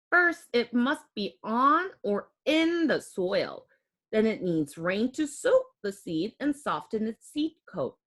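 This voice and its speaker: A woman reading a picture book aloud to young children like a teacher, expressively, with simple explanatory asides. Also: on the high side. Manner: expressive, child-directed, with audible gasps and exclamations.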